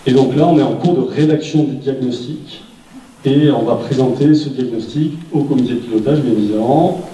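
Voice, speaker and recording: A man's voice: mid-range voice, normal speed, dark tone. A man speaking at a public meeting, explaining things in an informal, plain-spoken, conversational way. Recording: roomy, distant mic, background noise.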